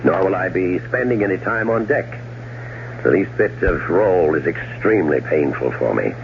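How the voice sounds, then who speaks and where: An actor playing a domineering, cold-blooded schemer in a radio drama, commanding, deliberate and condescending. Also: male voice, low voice, lively intonation, creaky. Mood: brisk, polite. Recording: muffled, noisy old radio recording.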